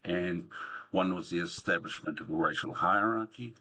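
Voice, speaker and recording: A man with a New Zealand accent explaining things as an academic guest, thoughtful and a little hesitant, with a husky voice. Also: low voice, normal speed, bright, forceful tone, rough recording. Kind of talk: explanatory interview talk.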